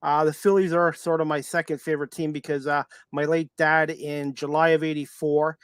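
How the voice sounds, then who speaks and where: A man's voice: fairly high pitch, little pitch movement, normal speed, clean tone, dry recording, clean studio sound. A man in his fifties hosting a casual sports interview, talking like an eager, appreciative fan. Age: 50s. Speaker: sports podcast host.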